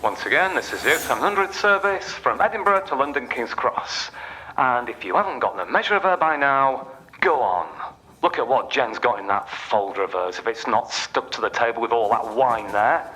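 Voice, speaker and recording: A sardonic train guard in a radio play. His voice is bright and forceful at a middle pitch, mock-official, slipping into sneering, needling asides over faint background noise. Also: expressive, normal speed, rough recording.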